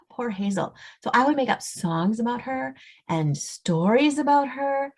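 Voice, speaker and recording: An American woman speaking as an interview guest, telling personal stories casually and acting out little quoted asides. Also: mid-range voice. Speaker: guest, suspense novelist. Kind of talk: casual storytelling in conversation.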